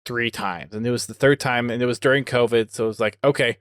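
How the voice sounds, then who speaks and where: A man's voice: medium pitch, steady tone, fast, clear, resonant tone, no echo, clean studio sound. A man hosting a podcast, speaking in a casual conversational way. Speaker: podcast host and video producer.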